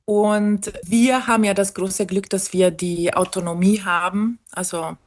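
A woman's voice: mid-range voice, fairly even intonation, measured pace. Hesitant woman. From Val Badia, South Tyrol, Italy. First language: Ladin. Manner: thoughtful, with frequent hesitations. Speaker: guest musician. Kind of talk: interview answers.

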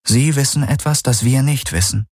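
Man voicing an earnest woman, lifted pitch. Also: normal speed, a little mellow, slightly rough, dry recording, clean studio sound. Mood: puzzled, urgent. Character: young adult woman, resilient, earnest, worried space traveller Kirai. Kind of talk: dramatised audiobook dialogue.

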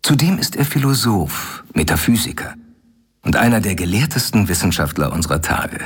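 A husky earnest young doctor. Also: male voice, fairly low pitch, sing-song, full character voice. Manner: earnest, measured letter reading. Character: earnest young male doctor, worried friend. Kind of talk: radio play acting.

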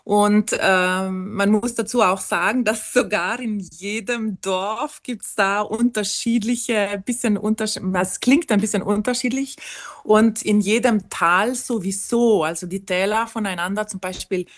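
A woman from South Tyrol whose first language is Ladin, talking as a guest in an interview. She explains things at length, with many pauses and fillers. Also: medium pitch, steady tone. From South Tyrol, Italy. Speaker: musician guest. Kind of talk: casual interview.

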